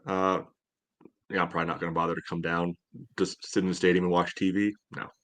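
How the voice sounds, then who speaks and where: Dry, sardonic man with a lowish voice. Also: level tone, fast. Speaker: sports podcast panelist. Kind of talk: casual sports chat.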